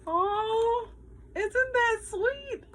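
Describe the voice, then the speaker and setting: A woman teacher reading a story aloud to children in an animated, emphatic way, with asides to her listeners. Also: very high voice, drawn-out words.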